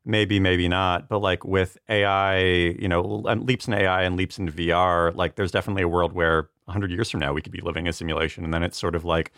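Male guest, deep voice, loose joking conversation, dry close recording. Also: steady tone, quick pace, hardly any pauses, fairly bright tone, no room sound. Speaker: podcast guest. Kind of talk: casual chat.

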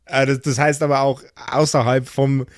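A man's voice: mid-range voice, flat delivery, normal speed, dry recording, clean studio sound. A man acting as game master, improvising narration and joking banter in a casual way. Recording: music under the voice. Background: background music.

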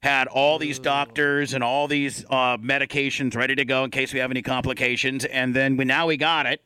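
Bright, punchy male talk show host. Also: mid-range voice, little pitch movement, fairly quick, smooth, rough recording. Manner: blunt, joking, exaggerating. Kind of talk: casual sports talk banter.

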